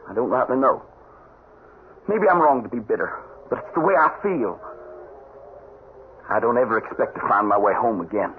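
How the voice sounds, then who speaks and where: An actor playing a bitter, stubborn young Texas soldier home from war in a radio drama, with a folksy Southern accent. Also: male voice, medium pitch, animated, moderate pace, long pauses, phone-quality sound, natural voice. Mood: stubborn, hurt. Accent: American Southern. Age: young adult. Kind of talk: old-time radio drama acting. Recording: thin, band-limited vintage radio recording, hissy.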